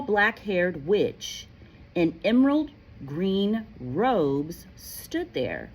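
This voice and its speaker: Lady teacher doing a read-aloud, really lively intonation, chatty with the kids, faint noise in the background. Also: lowish voice, low-quality recording. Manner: expressive, addresses listeners directly. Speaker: teacher reading aloud to pupils. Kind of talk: read-aloud storytelling with casual classroom chat.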